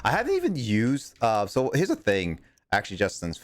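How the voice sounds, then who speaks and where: A man's voice: medium pitch, huge pitch swings, brisk, soft background music. A young man streaming a video game and chatting casually with his viewers, rambling, mocking himself and stumbling over names. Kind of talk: casual livestream chat with viewers.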